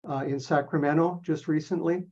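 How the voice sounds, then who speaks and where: Low-voiced woman, muffled call audio. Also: fairly even intonation, a little fast, warm tone. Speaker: meeting participant. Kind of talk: casual group chat.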